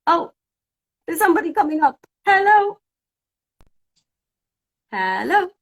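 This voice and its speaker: A woman's voice: extremely high pitch, sing-song, slow. A woman from Cyprus whose first language is Greek, an English teacher hosting a casual live-stream chat and thinking aloud as she sorts out technical trouble. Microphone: phone.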